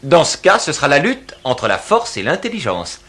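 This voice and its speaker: A man's voice: fairly high pitch, huge pitch swings, normal speed, fairly bright tone. An actor playing a clever, inventive cave man in a cartoon dub, confident and teasing.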